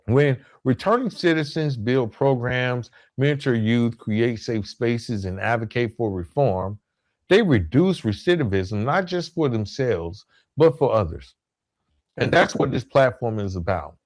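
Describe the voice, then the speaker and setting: A man's voice: mid-range voice, lively intonation, measured pace. A man hosting a podcast, giving a semi-scripted motivational monologue in an earnest, emphatic way with repeated phrasing for effect. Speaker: podcast host.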